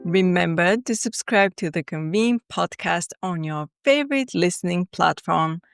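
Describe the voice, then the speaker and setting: Creaky-voiced woman announcing over faint music. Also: lowish voice, expressive, unhurried, dry recording. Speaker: podcast announcer. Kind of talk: scripted podcast outro.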